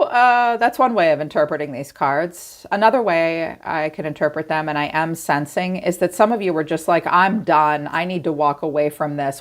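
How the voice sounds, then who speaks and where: A woman with a low-set voice gives a casual tarot reading. She is middle-aged, chatty and animated. She addresses her viewers directly and playfully acts out what they might be thinking. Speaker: tarot reader.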